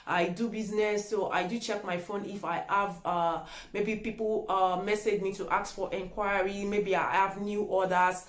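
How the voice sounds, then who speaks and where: A woman's voice: mid-range voice, level tone, moderate pace. A woman of about thirty with a Nigerian accent, a native Yoruba speaker, chatting casually as a vlogger and repeating words for emphasis. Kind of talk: casual chat answering tag questions. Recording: echoey room.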